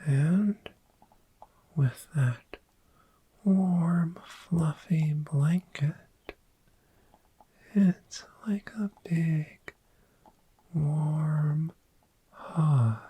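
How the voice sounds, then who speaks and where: A gentle, friendly dragon acted by a woman speaks in a breathy, dark and velvety whisper for a sleepy ASMR roleplay. The delivery is extremely slow and soothing, lingering softly on repeated words. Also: low-pitched, heavily acted. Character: friendly, gentle, sleep-loving dragon hoarding cozy bedding. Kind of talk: soft-spoken ASMR roleplay.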